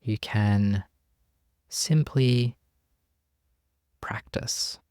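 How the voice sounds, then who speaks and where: A man with a deep voice guides a meditation at a very slow pace. He is gentle and unhurried, letting each instruction settle with long pauses. The sound is dry and close, without room reflections. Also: clean tone, no room sound. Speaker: meditation teacher.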